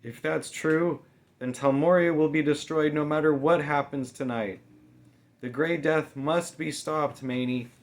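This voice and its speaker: A man voicing a furious, menacing young female thief in an audiobook, snapping out forceful threats. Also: a little high, steady tone, measured pace, voice pushed up. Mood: urgent, forceful. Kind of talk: audiobook character dialogue.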